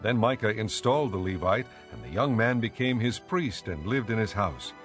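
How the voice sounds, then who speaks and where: Casting: male narrator, lowish pitch, solemn scripted scripture storytelling, soft music bed underneath. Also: animated, moderate pace.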